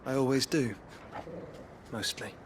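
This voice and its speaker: An actor playing an earnest, scholarly young nobleman in a video game, speaking softly and articulately with gentle wryness. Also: medium pitch, fairly even intonation, measured pace, heavy background noise, natural voice. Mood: earnest, wry.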